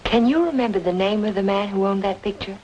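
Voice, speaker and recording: A woman's voice: mid-range voice, fast, a little mellow, slight background noise, natural voice, rough recording. A woman playing a determined, lovelorn young amateur sleuth in an old-time radio noir drama, speaking earnestly and insistently. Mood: polite, inquiring.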